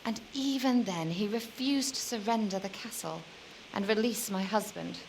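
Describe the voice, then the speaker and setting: An actress playing a weary, lonely young medieval noblewoman in a video game, speaking in a measured, formal and wistful way. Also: medium pitch, vocal fry, a little hiss or hum, natural voice, poor audio. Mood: sorrowful. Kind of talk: dramatic video game dialogue.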